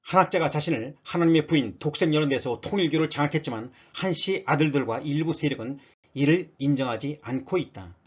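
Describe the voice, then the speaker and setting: Higher-pitched man reading scripted news. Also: a little fast, slightly rough, dull audio. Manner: formal, read-out announcer delivery.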